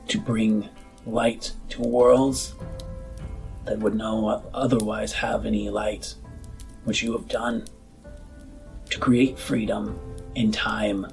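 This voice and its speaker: A man playing a solemn, earnest politician burned and bound to a chair, with a damaged, breathy rasp and formal, measured speech. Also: on the low side, rough recording. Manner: slow, measured, formal oratory.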